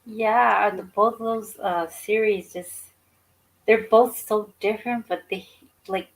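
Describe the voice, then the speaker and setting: A woman's voice: mid-range voice, steady tone, slow, low-quality recording. A woman speaking casually as a co-host in a book discussion, recorded with some noise. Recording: faint background noise on stream.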